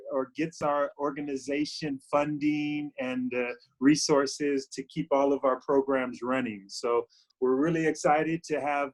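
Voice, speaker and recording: A man hosting a youth group chat on a video call, talking casually and haltingly with many fillers and restarts. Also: mid-range voice, rough recording. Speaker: youth program host.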